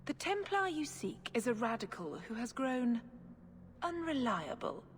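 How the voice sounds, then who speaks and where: A woman playing a condescending, scheming priestess in a video game, speaking in a measured, formal way. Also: a little high, very animated, a little hiss or hum. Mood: haughty, calculating. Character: scheming, condescending Chantry priestess, smooth political manipulator. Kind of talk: scripted video game acting. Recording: game music behind the voice.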